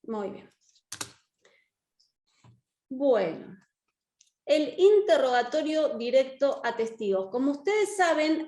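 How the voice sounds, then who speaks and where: A woman's voice: high-pitched, darkish tone. An Argentine woman teaching an interactive online class in an encouraging way, checking understanding with short questions. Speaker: course teacher and lawyer. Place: indoor room, online video class. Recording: echoey room.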